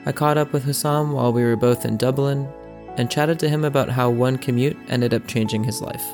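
A man hosting a podcast in a hotel room, with a mid-pitched voice. He asks thoughtful questions in an easy, friendly way. Faint music plays in the background. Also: steady tone, a little fast. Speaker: podcast host. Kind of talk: podcast interview.

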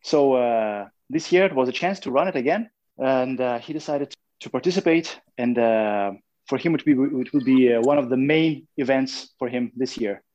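A man interpreting for his brother, in halting English. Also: mid-range voice, a little mellow, dull audio. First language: Russian.

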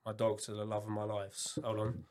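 A man from Kent, England, chatting casually as a podcast guest, animated and full of fillers. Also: fairly low pitch. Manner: animated, quick bursts of excitement, many fillers.

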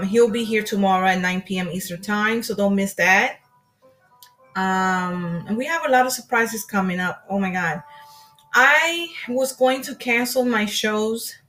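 A woman's voice: fairly high pitch, fairly bright tone. A young woman hosting an online live show, chatting casually and greeting viewers and her guest with Spanish endearments. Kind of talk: casual live-stream chat.

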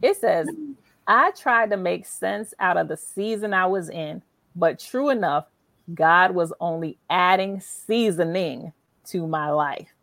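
A woman interviewer with a fairly low voice that often dips into fry. She speaks as a show host, reflective and emphatic, affirming her guest. The sound is close and dry. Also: slow pace, a bit bright, no echo. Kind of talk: conversational interview, reading aloud from a book.

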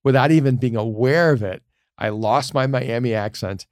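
A middle-aged man speaks in an earnest, reflective storytelling manner as a pastor and communication teacher. His voice sits at an ordinary pitch for a man. The sound is close and dry, with almost no room around it. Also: normal speed, darkish tone, dry recording. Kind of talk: casual podcast conversation.

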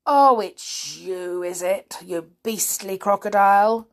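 A woman voicing a big, indignant male elephant in a storybook, scolding emphatically with drawn-out words. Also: medium pitch. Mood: scornful.

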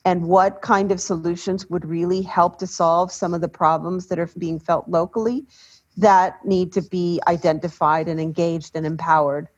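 Husky, measured woman, muffled recording. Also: lowish voice. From Ecuador. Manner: measured, deliberate, trailing off softly. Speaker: panel speaker, development expert. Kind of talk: panel discussion. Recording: dull lo-fi sound.

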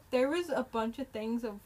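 A woman's voice: high voice, a little mellow, breathy edge. Teasing, joking woman podcaster. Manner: teasing, quick with jokey comebacks. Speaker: podcast co-host. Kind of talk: casual chat.

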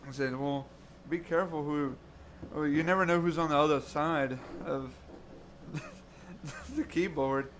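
A young man telling a story in a rambling, halting way, with short laughs. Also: a little high, lively intonation, a bit bright, a little hiss or hum. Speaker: podcast co-host. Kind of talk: casual chat.

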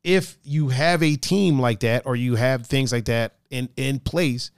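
A man hosting a casual chat show with a raspy voice, talking in a laid-back, profane, joking way and reading out chat comments. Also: mid-range voice, a little slow, no room sound, clean studio sound. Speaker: podcast host.

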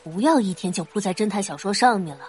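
An actress playing a detective's middle-aged wife in an audio drama, nagging and teasing with exasperated, drawn-out emphasis. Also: female voice, medium pitch, expressive, darkish tone, slight background noise, close to an everyday voice. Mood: chiding. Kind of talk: multi-cast audio drama acting.

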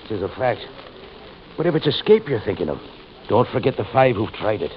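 Lilting, scrappy Irish cowboy prisoner. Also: male voice, fairly low pitch, big pitch swings, fairly quick. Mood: somber. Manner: lilting, wry. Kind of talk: old-time radio western acting. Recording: muffled, noisy old radio recording.

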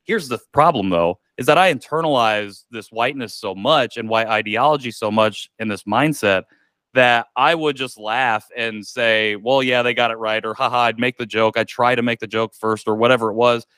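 A man's voice: mid-range voice, normal speed, a bit bright, smooth, dry recording. A man, a podcast guest telling a candid personal story in a reflective way, with many likes and ums. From United States.

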